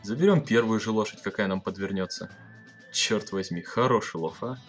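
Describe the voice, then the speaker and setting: A man's voice: on the low side, normal speed, faint music behind, natural voice. A young man in an amateur audio play, acting a cheerful, boisterous bandit of the American West. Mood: excited, boisterous. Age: young adult. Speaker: amateur school performer.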